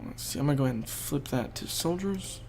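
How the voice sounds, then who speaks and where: A man's voice: mid-range voice, animated, quick pace, a little mellow, faint music behind. A young man with a stuffed-up, fading voice, casually thinking aloud while he plays and explains a strategy game. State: congested, losing his voice. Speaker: gaming video host. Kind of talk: casual gameplay commentary.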